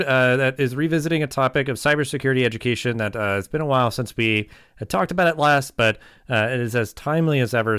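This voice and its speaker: A man's voice: mid-range voice, a bit bright, clear, resonant tone, no room sound. Rambling male podcast host, dry sound. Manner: rambling, many "uh" fillers. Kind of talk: casual interview chat.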